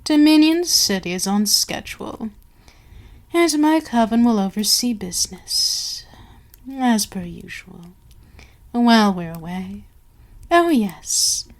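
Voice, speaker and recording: An imperious vampire queen played by a woman speaks at a very slow, deliberate pace in a mid-range voice. She is seductive and menacing, haughty and used to being obeyed, and lets words sink toward a whisper in intimate ASMR roleplay style. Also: mid-range voice, lively intonation. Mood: imperious, composed. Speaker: voice actor in audio roleplay.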